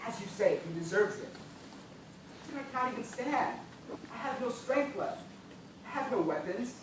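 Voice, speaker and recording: Echo-drenched, bitter gentleman castaway played by a man. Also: very high voice, expressive, normal speed. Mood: suspicious, weary. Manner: formal, theatrical, bitter delivery. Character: weakened, bitter, impatient gentleman castaway dying of thirst. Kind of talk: amateur one-act stage play acting. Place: indoor theatre stage, recorded from the audience distance. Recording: very echoey noisy hall, far away.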